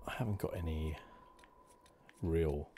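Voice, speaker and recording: A soft-spoken man giving hesitant, rambling ASMR commentary as host of a video game playthrough. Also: very deep voice, unhurried, slight background noise.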